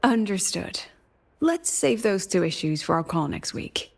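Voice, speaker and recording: Creaky-voiced, weary academy president. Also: female voice, mid-range voice, sing-song, a little slow, exaggerated voice. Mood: polite, businesslike. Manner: polite, diplomatic, dryly wry. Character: weary, wry academy president, adult woman. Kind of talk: video game character dialogue. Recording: background music under the voice.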